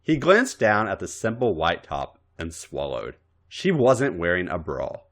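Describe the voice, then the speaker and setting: A man's voice: low voice, sing-song, a little slow. A man narrating fan fiction for a podcast in a dramatic, comic storytelling style.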